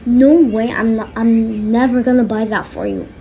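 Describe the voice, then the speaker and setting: A woman's voice: fairly high pitch, steady tone, moderate pace, warm tone, slight background noise, close to an everyday voice, low-quality recording. A woman voicing a stern, angry father who scolds bluntly and emphatically in a homemade skit. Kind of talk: homemade skit role-play.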